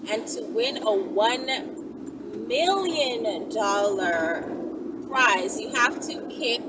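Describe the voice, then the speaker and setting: A woman vlogger chatting casually to her viewers in an animated, giggly, playful way as she answers their questions. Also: high-pitched, recorded from a distance. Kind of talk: casual vlog chat to viewers. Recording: loud ambient vehicle noise, off the mic.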